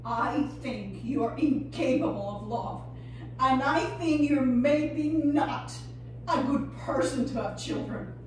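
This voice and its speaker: A woman acting a dramatic scene as a hurt, accusing husband, making bitter, halting accusations. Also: high-pitched. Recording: very echoey room, distant mic, faint hiss.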